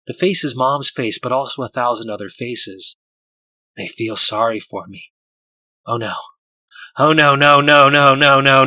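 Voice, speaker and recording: Man voicing a bright-toned, frightened, awestruck child. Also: mid-range voice, no echo. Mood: sorrowful awe, then terrified. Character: frightened, awestruck child having a hallucinatory vision. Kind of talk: dramatic first-person audiobook narration.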